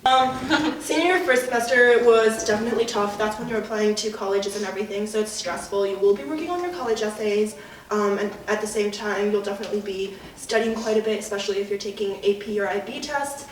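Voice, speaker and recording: A woman's voice: medium pitch, brisk. A young woman from Colorado, a college student chatting casually and laughing easily. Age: young adult. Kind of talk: casual group chat. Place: college dorm common room. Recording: roomy echo, distant mic, faint noise.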